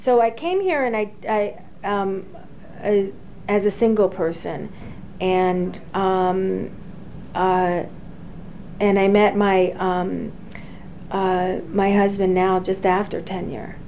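A woman in her forties, an engineering professor, recalling her career slowly with many fillers and restarts. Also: mid-range voice, fairly even intonation. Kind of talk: oral history interview. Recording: dull lo-fi recording with faint hiss.

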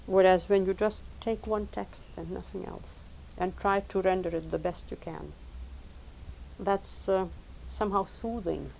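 A woman whose first language is Lithuanian, a professor and translator, talking in a measured, thoughtful way and repeating words as she thinks. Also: medium pitch, fairly even intonation, creaky, a little mellow, a little hiss or hum, low-quality recording. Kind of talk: recorded oral history interview.

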